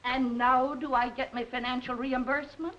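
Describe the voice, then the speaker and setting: A woman's voice: high voice, steady tone, normal speed, a bit bright. An actress in a 1940s film playing a talkative, indignant working-class woman with colloquial grammar and a dramatic way of speaking. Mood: pleased. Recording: dull 1940s film soundtrack with music.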